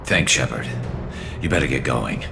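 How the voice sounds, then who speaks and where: A man voicing a wry, battle-worn alien ex-cop turned vigilante in a video game, with a gravelly, dry, clipped delivery. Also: lively intonation, moderate pace, full character voice. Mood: urgent, concerned. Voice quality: gravelly, very deep. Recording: game audio with music behind.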